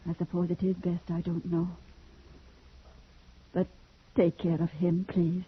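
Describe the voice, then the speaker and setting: An actress playing an anxious, sensitive wife with theatrical, emotional intensity in old-time radio drama style. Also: female voice, lowish voice, monotone, measured pace, breathy edge. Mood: suspicious, worried. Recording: tinny, band-limited old radio recording.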